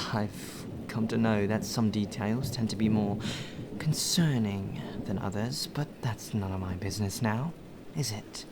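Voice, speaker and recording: A man acting as a polite, deferential male butler in a soft, formal ASMR audio roleplay. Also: lowish voice, noisy background. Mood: musing, insinuating. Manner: formal, deferential, measured, sighing.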